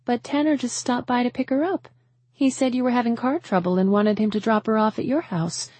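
A woman narrator voicing a concerned, puzzled family man in an audiobook, conversational and questioning, with a husky voice. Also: on the high side, brisk, darkish tone, no room sound, voice pushed up, close to an everyday voice.

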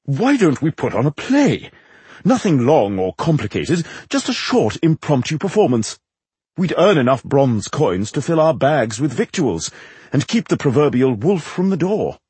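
Casting: male narrator as a grandiloquent aristocratic showman, raised pitch, highly animated, theatrical fantasy storybook flourish. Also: normal speed, breathy edge, no echo. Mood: excited. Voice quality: exaggeratedly aristocratic delivery. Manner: grandiloquent, wordy, showy.

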